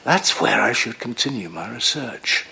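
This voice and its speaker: An actor playing an earnest, brooding middle-aged doctor in an audio drama, speaking in a measured, reflective way. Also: male voice, mid-range voice, expressive, vocal fry, bright, forceful tone, husky, full character voice. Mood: impassioned, brooding.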